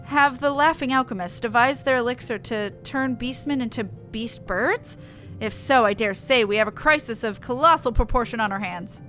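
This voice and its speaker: A woman voicing a grave, eloquent investigator from a fantasy game in a formal, measured, dramatic delivery. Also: a little high, fairly bright tone, soft background music, lightly acted. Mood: urgent, official.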